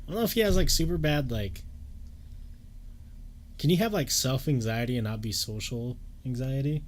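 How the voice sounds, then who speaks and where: A young man co-hosting a casual podcast, easygoing, with his voice jumping up when he gets excited. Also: medium pitch, moderate pace. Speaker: podcast co-host. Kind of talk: casual chat.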